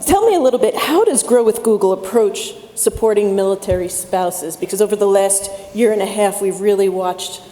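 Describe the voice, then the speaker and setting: Mellow-voiced woman moderating a panel. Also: medium pitch, a little fast, few pauses, a little hiss or hum. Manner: enthusiastic, rallying. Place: conference hall. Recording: echoey hall, faint ambience.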